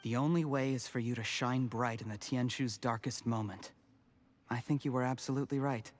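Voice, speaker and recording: Man acting timid, obsequious schemer, mid-pitched. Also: fairly even intonation, faint music behind, lightly acted. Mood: deferential. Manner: deferential, measured. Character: obsequious, timid yet scheming male commoner poisoner. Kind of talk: video game voice acting.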